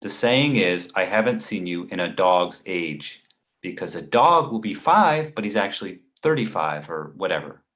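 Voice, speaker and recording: A man's voice: sits low, lively intonation, moderate pace, fairly bright tone. Male comedy table read: actor as exasperated, cautious editor friend, widely swinging intonation. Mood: disapproving.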